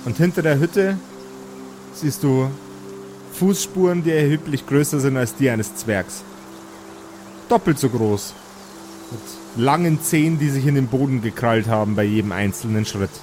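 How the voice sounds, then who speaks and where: Measured male game master narrating. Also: mid-range voice, darkish tone, soft background music. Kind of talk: improvised narration of a tabletop fantasy game.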